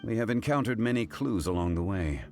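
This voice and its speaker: A man voicing a composed, dignified male consultant in a video game, speaking in a measured, formal way. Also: fairly low pitch, expressive, darkish tone, faint music behind. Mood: grave.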